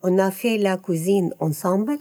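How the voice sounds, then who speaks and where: A woman's voice: mid-range voice, normal speed, mellow, no room sound, clean studio sound. A woman learning French, giving short reportage lines and answers with frequent hesitant fillers.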